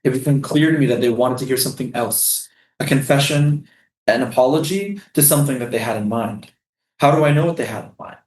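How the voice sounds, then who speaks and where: A man's voice: medium pitch, brisk, a little mellow, natural voice. Echoey weary male prisoner read by an actor. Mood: bitter, frustrated. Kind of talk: staged play reading. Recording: roomy, echoing stage sound.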